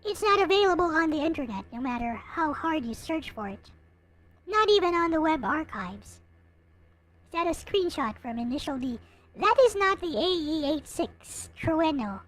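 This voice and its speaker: A woman streaming, chatting casually and reading a visual novel aloud in an expressive manner. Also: sits high, unhurried. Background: music.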